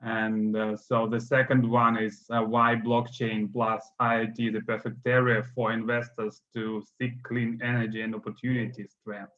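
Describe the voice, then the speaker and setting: A man's voice: lowish voice, little pitch movement, moderate pace, few pauses, fairly bright tone. A man moderating an online panel, hesitant and full of fillers. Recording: dull lo-fi video call audio.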